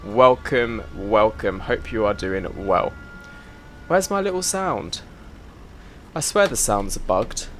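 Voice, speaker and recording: A man's voice: fairly low pitch, animated, takes it slowly, a bit bright, soft background music. Chatty man, slightly congested. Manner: chatty, greets viewers by name, fiddles with his setup while talking. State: slightly congested, a bit of a cough. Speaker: flight sim streamer. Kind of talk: casual live-stream chat.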